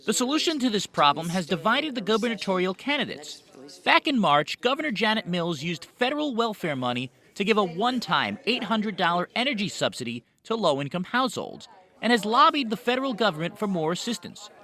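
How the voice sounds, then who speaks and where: A man's voice: sits high, sing-song, a little fast, bright, forceful tone. A man working as a television news reporter, reading a scripted narration.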